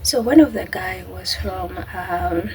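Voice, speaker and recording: A woman vlogger with a Kenyan accent telling a personal story casually, in a halting, conversational way that acts out bits of dialogue. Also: lowish voice, noisy background.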